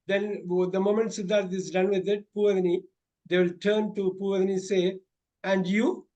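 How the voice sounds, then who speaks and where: A man's voice: sits high, level tone, normal speed, warm tone, muffled recording. A man with an Indian English accent tutoring students through a mock speaking exam, repeating his praise often.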